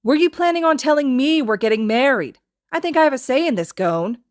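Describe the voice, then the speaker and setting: A gruff, guarded young man from an anime story, played by a woman reader. He talks bluntly, with a sardonic, challenging edge. The sound is very dry. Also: sits high, expressive, fairly quick, no room sound, voice pushed up. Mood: indignant. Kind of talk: anime fan fiction character reading.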